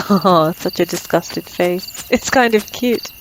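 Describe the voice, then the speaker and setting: A woman's voice: lowish voice, takes it slowly, a little hiss or hum. Woman acting a teasing female vampire, night insects behind. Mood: playful, taunting. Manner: teasing, sardonic, taunting. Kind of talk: ASMR roleplay acting.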